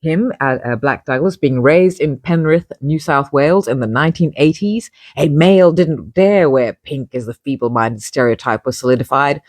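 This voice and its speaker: A woman's voice: sits low, lively intonation, normal speed, few pauses, a little mellow, no echo. A woman reading her own article aloud, breaking off into wry, chatty, opinionated asides and interrupting herself as she goes. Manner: digressive, wry, self-interrupting. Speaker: magazine publisher reading own feature article.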